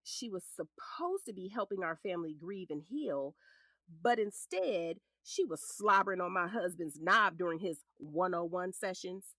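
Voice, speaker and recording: An actress with an American accent playing a sharp-tongued, protective middle-aged lawyer and scornful ex-wife in an audio drama, blunt and commanding. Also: female voice, on the low side, expressive, moderate pace, no room sound. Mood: wry scorn.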